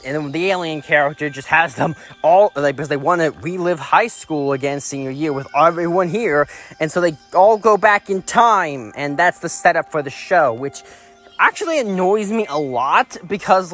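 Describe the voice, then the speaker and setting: A man's voice: on the high side, fairly bright tone. A man giving casual, rambling opinion commentary as a video reviewer, in a critical, exasperated manner. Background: background music.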